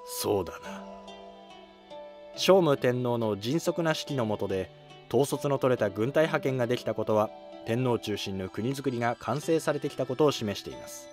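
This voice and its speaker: A man's voice: medium pitch, expressive, brisk, darkish tone. Man narrating history over music. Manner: polite, orderly explaining.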